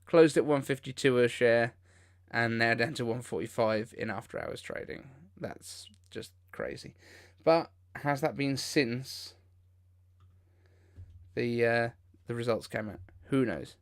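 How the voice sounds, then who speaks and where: A man with a mid-pitched voice hosts a live reaction stream. He talks informally and off the cuff, with an incredulous edge to his commentary. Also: measured pace. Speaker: livestream host.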